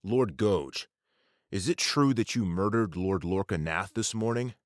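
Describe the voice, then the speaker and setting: Male actor as mature guard commander, fairly deep, stern, terse, formal, challenging, dry recording, audiobook drama. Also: expressive, a little slow, no echo. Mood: guarded, questioning. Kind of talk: audiobook character acting.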